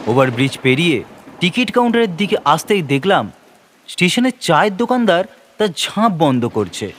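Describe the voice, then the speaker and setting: A man narrating a horror story in a suspenseful, expressive, dramatic manner. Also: on the high side. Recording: rain and noise behind narration. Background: rain.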